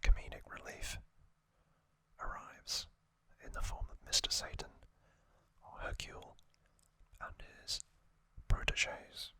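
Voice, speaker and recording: Male ASMR host, breathy whisper throughout, bright and crisp on the sibilants, unhurried, gentle trivia recap. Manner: slow, gentle delivery in short, drawn-out phrases.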